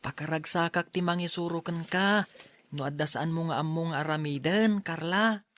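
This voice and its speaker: A woman's voice: low-pitched, moderate pace, a bit bright. A woman playing a timid, polite schoolboy in an audio drama, speaking hesitantly and bashfully. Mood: shy, flustered.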